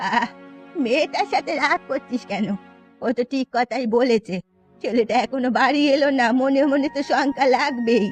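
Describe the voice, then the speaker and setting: An elderly village aunt voiced by a woman, complaining and fretful. The voice is high for an old woman, performed in cartoon fairy tale style with light music behind. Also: normal speed, close to an everyday voice. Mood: gently chiding.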